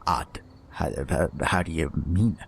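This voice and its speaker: A man playing a nervous, guilt-ridden scientist in a comic-book audio drama, halting and stammering as he talks. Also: rumbling low, vocal fry, slightly rough, full character voice. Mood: uneasy, evasive. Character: nervous, guilt-ridden male scientist, secretly from another world. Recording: loud music behind the voice. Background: loud music.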